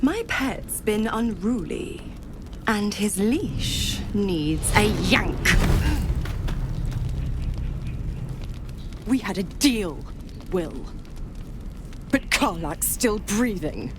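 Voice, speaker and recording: A woman's voice: mid-range voice, animated, extremely slow. An actress playing a seductive, smug, sadistic female devil in a video game, taunting in a theatrical drawl. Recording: clear ambient noise under voice.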